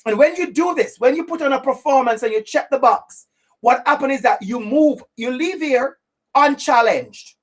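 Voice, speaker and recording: Emphatic Jamaican woman giving a webinar. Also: on the high side. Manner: emphatic, preacherly, with deliberate repetition. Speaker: equity trainer for teachers. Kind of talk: webinar presentation.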